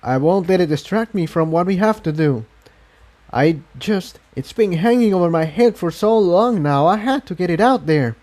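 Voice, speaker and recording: A man voicing an anxious, apologetic female pony rebel in a storybook reading, speaking in a halting, earnest way. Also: sits high, lively intonation, a little mellow, voice pushed up. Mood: self-reproaching, relieved.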